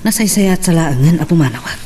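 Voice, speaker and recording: A man's voice: on the high side, animated, quick pace, dark tone, slight background noise, close to an everyday voice. A man playing a troubled, earnest son of a powerful family in a radio-style drama.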